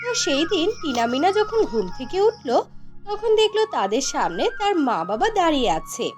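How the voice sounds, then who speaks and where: A woman's voice: sits high, big pitch swings, moderate pace. A woman narrating a scripted fairy tale for a cartoon, as a storyteller. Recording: music behind the voice. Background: background music.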